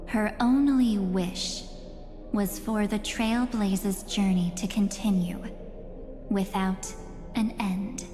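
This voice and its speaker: A woman voicing an enigmatic young female memory spirit in a video game, coaxing and softly menacing, with slow, breathy delivery. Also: mid-range voice, fairly even intonation, faint music behind, close to an everyday voice. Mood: coaxing, ominous. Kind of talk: scripted video game dialogue.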